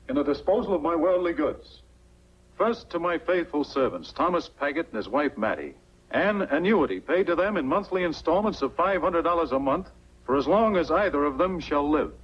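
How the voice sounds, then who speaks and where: An actor playing a formal executor reading a will aloud in 1940s film style. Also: male voice, a little high, slight background noise, natural voice. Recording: muffled 1940s film soundtrack.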